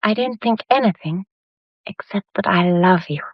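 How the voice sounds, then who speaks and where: An actress playing a shy, devoted young English society fiancée in a period radio drama, hesitant and earnest. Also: female voice, mid-range voice, normal speed, slightly rough, dry recording, muffled recording. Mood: tender.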